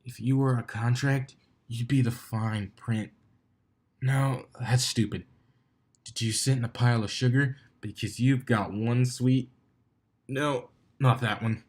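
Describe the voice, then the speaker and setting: An awkward young cartoon stallion, read by a man, full of self-doubt. He mutters haltingly, as if rehearsing to himself. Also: medium pitch, steady tone, natural voice.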